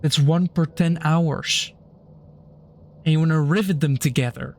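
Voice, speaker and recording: Young guy streaming, dry deadpan explainer, kinda high voice, faint hiss behind. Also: measured pace. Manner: dry, explains technical points, deadpan puns. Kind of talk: casual chat while gaming.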